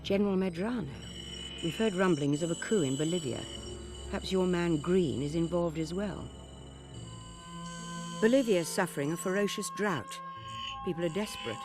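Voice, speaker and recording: A woman playing a stern older spymaster in a game cutscene. Her voice swings widely in pitch, crisp and authoritative, with music clearly behind it. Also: lively intonation, normal speed, long pauses, close to an everyday voice. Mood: grave. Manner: commanding, crisp, insistent. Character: stern, authoritative older female intelligence chief. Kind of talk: video game cutscene acting.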